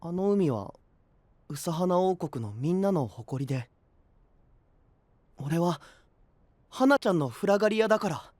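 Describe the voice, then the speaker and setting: Easygoing boyish anime guy, super expressive, close dry recording. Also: high-pitched, measured pace, long pauses, no echo. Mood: wistful, resigned. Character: easygoing, friendly young man hiding frustration. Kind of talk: anime voice drama acting.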